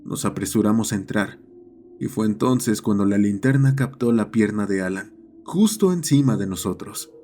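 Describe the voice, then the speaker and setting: A man's voice: on the low side, animated, normal speed, mellow, a little hiss or hum. A man reading a scripted horror story as its narrator.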